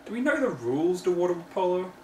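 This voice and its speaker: A man's voice: sits high, sing-song, fast talker, mellow, slight background noise, voice pushed up. A man voicing a sweet, timid young filly pony with a mild, hesitant manner in a storybook reading. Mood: curious. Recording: roomy, distant microphone in home room.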